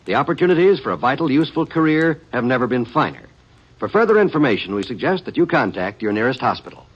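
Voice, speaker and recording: A man's voice: medium pitch, very animated, fast, slight background noise. An American man reading scripted announcements in the formal style of a 1950s radio announcer. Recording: dull vintage radio recording, faint music.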